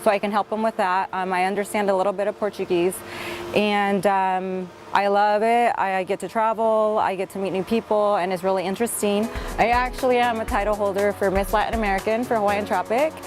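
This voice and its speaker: A woman's voice: medium pitch, fairly even intonation, a little fast. Upbeat young woman, faint music behind. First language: Spanish. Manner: upbeat, earnest, fillers. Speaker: reality show contestant. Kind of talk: audition tape interview.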